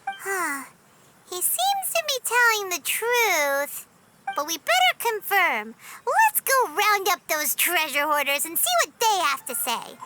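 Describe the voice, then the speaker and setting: A woman's voice: very high-pitched, big pitch swings, slow, very noisy, exaggerated voice. A woman voicing a small, spirited, bossy and curious fairy girl in a video game, speaking in an earnest, exclamatory way. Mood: determined. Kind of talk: video game cutscene voice acting. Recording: loud music behind voice. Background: game music.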